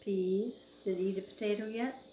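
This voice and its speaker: A woman's voice: mid-range voice, steady tone, moderate pace, dark tone. A woman, a mother, reading along casually with her young child and asking simple, prompting questions. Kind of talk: casual read-along chat with a small child. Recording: roomy, noisy amateur home recording.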